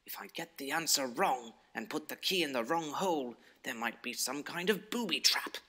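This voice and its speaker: A man's voice: fairly high pitch, animated, moderate pace, pushed and bright, husky, full character voice. A man playing a bumbling adventurer hero in an audio story, thinking aloud theatrically with quaint exclamations. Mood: pondering.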